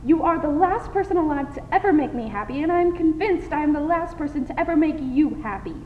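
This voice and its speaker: A woman's voice: high-pitched, animated, fairly quick, far from the mic. A woman playing a prim, blunt young unmarried lady with emphatic delivery in a 1920s radio drama parody. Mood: exasperated. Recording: muffled, reverberant, faint hiss.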